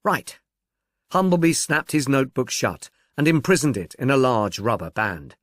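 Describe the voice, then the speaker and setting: A male narrator reads literary fiction in a measured, articulate way. His pitch moves expressively across a medium register, and the recording is close and dry. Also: very animated, dry recording. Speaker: audiobook narrator.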